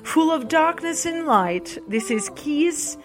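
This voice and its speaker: A woman's voice: high-pitched, lively intonation, slow pace. A woman whose native language is Portuguese, hosting a podcast and reading book recommendations aloud in a hesitant way, with fillers and restarts. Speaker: podcast host. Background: soft music.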